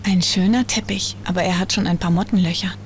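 A woman's voice: lowish voice, steady tone, fairly quick, a little hiss or hum, natural voice. An actress playing a curious, caring teenage girl detective in a cartoon adventure game, earnest and inquisitive.

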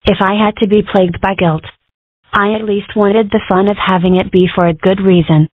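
Thin phone-like sound, woman playing excitable young fox girl, cartoon comic dub, defiant, guilt-prone, dry. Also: medium pitch, moderate pace, no room sound, lightly acted. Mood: matter-of-fact.

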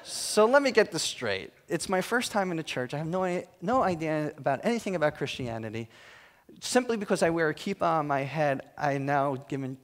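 A man's voice: a little high, animated, brisk. A man giving a talk in a church hall, telling humorous, self-mocking stories about his work. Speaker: guest speaker. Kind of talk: storytelling talk.

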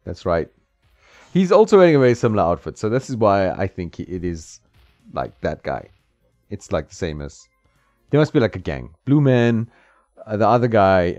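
A low-voiced man in his thirties riffs his way through a game, swinging his pitch up and down as he jokes and chuckles at the characters. His voice sits dry and close on a desk mic, a little dull in tone, with game music playing faintly underneath. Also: big pitch swings, moderate pace, a little mellow, dry recording. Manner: joking, laughs often, talks to the game. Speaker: gameplay streamer. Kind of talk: casual let's-play commentary.

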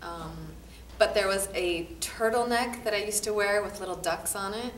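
A woman theater artist giving relaxed, easygoing answers in an interview. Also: medium pitch, normal speed, slight background noise. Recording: echoey room, distant mic.

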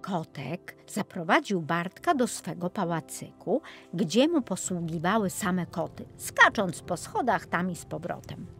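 A woman narrating a children's fairy tale as an expressive audiobook storyteller. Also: fairly low pitch, a little slow, soft background music.

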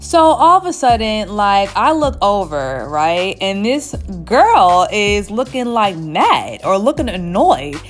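Chatty salon-owner woman, 30s, lively storyteller, faint music behind. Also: mid-range voice, slow pace, hardly any pauses. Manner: chatty, animated storytelling with frequent laughs. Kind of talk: casual storytime while getting a wig installed. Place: small indoor hair salon. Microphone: camera at chest height, seated facing it.

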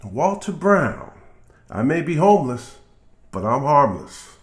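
A gentle older street musician read by a man, courteous and easygoing. The pitch is a little high and moves expressively, in the manner of a children's storybook. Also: very animated, takes it slowly, reverberant.

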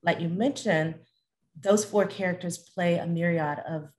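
Low-voiced middle-aged woman hosting. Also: normal speed, a little mellow. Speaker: interview host, theatre director. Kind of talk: conversational interviewing. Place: large living room at home on a video call. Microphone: video call.

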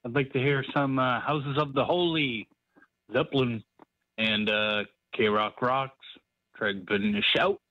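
Unhurried man on a dull request call. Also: medium pitch, no echo. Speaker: caller leaving a radio request. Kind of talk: casual phone message. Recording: dry, slightly dull phone call.

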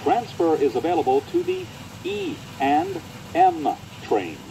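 An American man delivering scripted transit announcements in a formal, deliberate way. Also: fairly high pitch, wildly swooping pitch, mellow, a little hiss or hum, rough recording.

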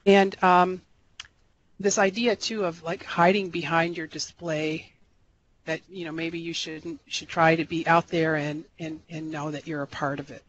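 A man giving a recorded slideshow lecture as an extension educator, relaxed and rambling, with many fillers and restarts. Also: a little high, measured pace, highs cut off, slight background noise. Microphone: voice-over for slides.

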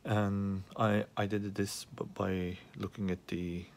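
A man with a lowish, fry-edged voice talks through a repair problem without a script. His delivery is very unhurried and hesitant, broken by frequent pauses, with music faintly present behind him. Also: level tone, slight background noise. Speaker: hobbyist repairer asking viewers for help. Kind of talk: unscripted troubleshooting demonstration. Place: small indoor workspace at a repair bench.

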